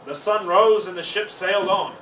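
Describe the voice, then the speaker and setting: A man reading a children's story aloud in expressive storybook narration. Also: high voice, normal speed. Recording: roomy, distant mic, faint noise.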